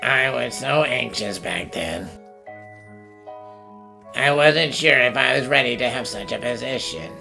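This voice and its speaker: A woman voicing an earnest, anxious perfectionist bank manager in a cartoon fan dub, formal and apologetic with a gravelly edge. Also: a bass voice, measured pace, pauses often, sharp tone, soft background music, exaggerated voice. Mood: anxious, remorseful. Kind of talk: animated mystery fan dub acting.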